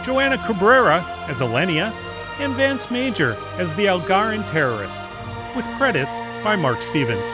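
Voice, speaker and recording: A man's voice: high voice, big pitch swings, moderate pace, over loud music. A man announcing scripted credits for an audio drama.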